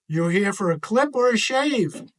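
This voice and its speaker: A man's voice: sits high, animated, measured pace. Man as a friendly, grateful storybook barber.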